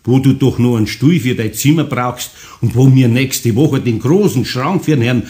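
An actor playing a kindly, patient older master carpenter in a children's radio play, speaking homely Bavarian dialect. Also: male voice, mid-range voice, normal speed, warm tone, lightly acted. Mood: reassuring. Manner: homely, good-natured dialect speech.

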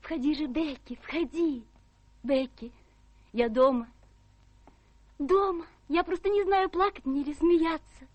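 A woman's voice: high-pitched, measured pace, pauses often, lo-fi sound, slight background noise. An actress in a radio play voicing a tender, guileless young daughter with an earnest, girlish manner. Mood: happy, eager. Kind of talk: theatrical radio play acting.

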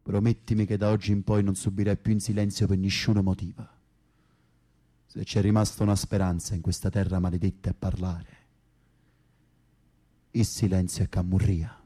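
A dark-voiced Neapolitan gangster. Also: male voice, low-pitched, little pitch movement, normal speed, pauses often. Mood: earnest, firm. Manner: blunt, commanding, colloquial. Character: tough, streetwise Camorra foot soldier in prison. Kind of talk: naturalistic radio drama acting.

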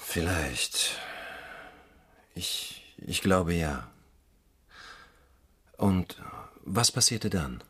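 An actor playing a puzzled police inspector who asks probing, hesitant questions in a crime radio drama, with a husky voice. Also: male voice, low-pitched, expressive, very slow, long pauses, fairly bright tone, full character voice. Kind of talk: radio drama acting, interrogation.

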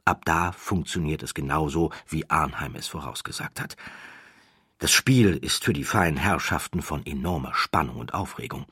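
Deep, husky male audiobook narrator. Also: normal speed, fairly bright tone, dry recording, clean studio sound. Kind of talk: expressive scripted storytelling.

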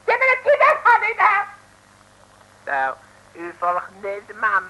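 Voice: very high-pitched, wildly swooping pitch, slow, noisy background, full character voice. A performer in an old radio play voicing a falsely humble, fawning Chinese mandarin who speaks in broken pidgin phrases. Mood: eager. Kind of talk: 1960s radio play acting.

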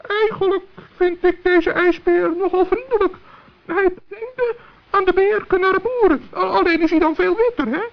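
A performer playing a small, polite, anxious male forest gnome in a children's radio play, with a squeaky, hesitant voice. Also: normal speed, vocal fry. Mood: friendly. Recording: dull old radio play recording.

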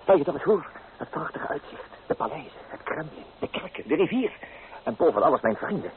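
An actor playing an impetuous, romantic young student lover, coaxing and dramatic, in a radio play. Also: male voice, fairly high pitch, big pitch swings, fairly quick. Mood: ardent, persuasive. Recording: tinny, noisy old radio play.

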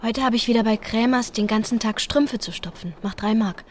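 Gentle, hopeful young wife , played by an actress. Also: female voice, a little high, moderate pace, slight background noise, lightly acted. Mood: matter-of-fact. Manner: gentle, reassuring. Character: hopeful, devoted young working wife and mother. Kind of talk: German radio play acting.

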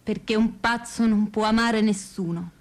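An actress playing an asylum inmate, reciting poetry as a solemn, incantatory chorus in a radio play. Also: female voice, mid-range voice, steady tone, normal speed, natural voice. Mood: solemn, sorrowful. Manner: solemn, incantatory, repeating lines. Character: female asylum inmate in an echoing poetic chorus. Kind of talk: poetic theatrical recitation.

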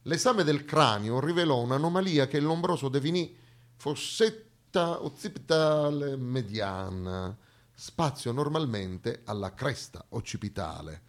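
A man's voice: mid-range voice, expressive, measured pace. A man performing a satirical theatre monologue, lecturing mock-seriously with ironic asides to his listeners.

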